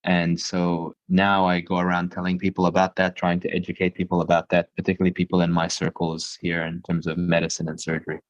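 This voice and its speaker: A young adult man from Dubai, a surgeon, answering podcast interview questions in a measured, explanatory way with frequent restarts. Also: low voice, monotone. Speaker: guest, surgeon. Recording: dull lo-fi sound.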